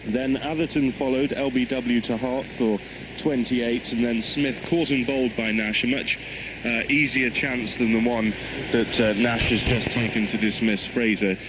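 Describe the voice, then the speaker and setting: A man's voice: mid-range voice, fairly even intonation, moderate pace, noisy background. A man giving live radio cricket commentary, heard over a shortwave broadcast. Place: cricket ground commentary position.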